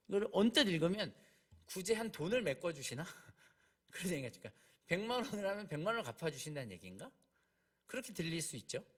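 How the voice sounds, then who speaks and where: A man preaching a sermon, emphatic, slowing down on key phrases and asking rhetorical questions. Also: a little high, fairly bright tone, husky. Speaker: preacher, junior pastor.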